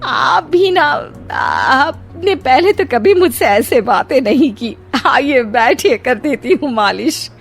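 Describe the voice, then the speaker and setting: A woman voicing a middle-aged mother-in-law in a cartoon story, a touchy but easily flattered wife. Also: high-pitched, slow, creaky, few pauses, soft background music, heavily acted.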